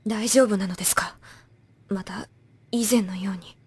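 A woman playing a dutiful schoolgirl shrine maiden, polite and hushed, in an anime audio drama. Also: mid-range voice, fairly even intonation, measured pace, breathy edge. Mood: subdued, serious.

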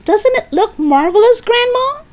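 Woman playing an excitable, high-voiced young boy. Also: a little slow, exaggerated voice. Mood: thrilled. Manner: calling out excitedly. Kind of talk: children's storybook character voice.

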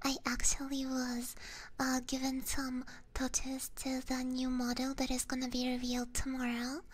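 A woman hosting a live ASMR stream, chatting casually in a soft, mostly whispered voice close to the microphone. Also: high-pitched, completely flat delivery, normal speed. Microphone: close binaural ASMR microphone.

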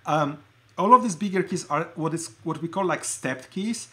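A middle-aged man from Poland hosting a casual show-and-tell livestream, talking in a halting, conversational way and often interrupting himself. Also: a little high, fairly bright tone. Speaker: livestream host showing collected keyboards. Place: indoor room at a desk.

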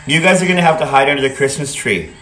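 A man's voice: fairly high pitch, lively intonation, rapid-fire, pushed and bright, faint music behind. A chatty, teasing man in his fifties bantering casually on a livestream, a little away from the phone. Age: 50s. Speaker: livestream co-host. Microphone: phone, a little distant. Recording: roomy, distant phone audio with noise.

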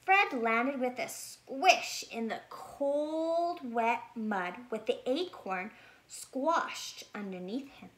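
A woman reads a picture book to children. Her delivery is slow and deliberate, with very lively intonation and a bright, fairly high voice. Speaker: storybook reader. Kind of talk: expressive children's read-aloud. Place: small plain room indoors.

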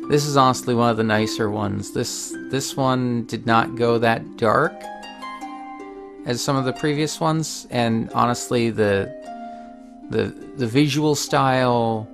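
A man with a noticeably creaky, gravelly voice hosts a video game playthrough. His pitch sits in the middle range for a man, and faint music plays behind him as he comments and reads. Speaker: let's play host. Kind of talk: video game commentary, reading story text aloud.

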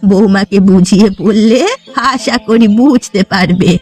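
A poor old fisherwoman played by a woman speaks in a plaintive, resigned way, at an ordinary pitch. She laments like a sorrowful cartoon folk-tale granny, with soft music behind her. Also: a little slow, lightly acted.

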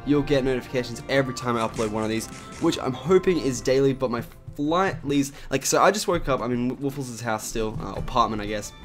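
A young man with an Australian accent, his voice raspy from just waking up, chatting casually over gameplay with lots of fillers. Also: medium pitch, fairly quick, soft background music. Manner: chatty, rambling with many "like" and "um" fillers. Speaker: gaming YouTuber.

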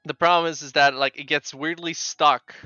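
Bright-voiced Polish man streaming a game. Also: fairly high pitch, steady tone, no room sound, soft background music. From Poland. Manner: halting, repeats words. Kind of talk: casual live chat with viewers while gaming. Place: small home room with bookshelves. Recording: dull, lo-fi microphone sound.

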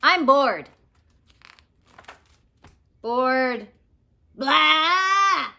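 A woman voicing an excitable, indignant little girl from a picture book, with emphatic exclamations. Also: high-pitched, very slow, creaky, full character voice. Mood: bored, whiny.

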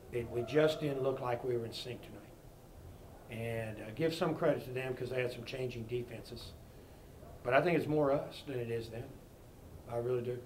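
A man's voice: mid-range voice, poor audio. A middle-aged man speaks as a basketball coach at a postgame press conference, seated before a fixed camera. He talks frankly and plainly in a mid-range voice, restarting and repeating words as he goes. A faint hiss sits under him. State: disappointed. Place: press conference room before a sponsor backdrop.

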